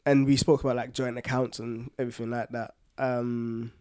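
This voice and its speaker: A man's voice: mid-range voice, level tone, normal speed, a little mellow, clean tone. Informal, slangy London man chatting. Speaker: podcast co-host. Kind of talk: casual chat, personal anecdotes.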